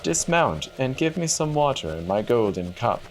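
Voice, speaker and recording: A man voicing a humble, gentle young princess in a storybook reading, formal and plaintive. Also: medium pitch, big pitch swings, normal speed, slight background noise, voice pushed up, poor audio. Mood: thirsty, requesting.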